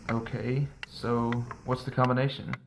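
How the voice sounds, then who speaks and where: A man's voice: mid-range voice, flat delivery, unhurried, slight background noise, lightly acted. A man playing a greedy, cynical middle-aged uncle in an audio drama, sardonic, impatient and irreverent. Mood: exasperated. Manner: sardonic, dry. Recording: music behind the voice.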